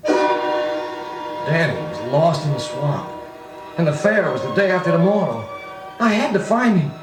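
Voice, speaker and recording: A man's voice: on the high side, very animated, measured pace. An actor playing an earnest country man who narrates his boyhood dramatically in a 1940s radio drama. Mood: desperate. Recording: roomy 1940s record. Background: music.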